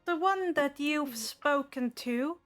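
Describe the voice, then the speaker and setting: High voiced lady game master, slow dramatic storytelling, music behind her. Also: a bit bright. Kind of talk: narrating a tabletop game. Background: background music.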